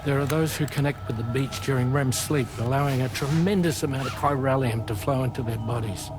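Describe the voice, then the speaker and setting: An actor playing a grave, analytical scientist-medic in a sci-fi video game, speaking precisely. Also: male voice, medium pitch, brisk, music in the background, lightly acted. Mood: grave, explanatory. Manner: precise, explanatory. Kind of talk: video game cutscene acting.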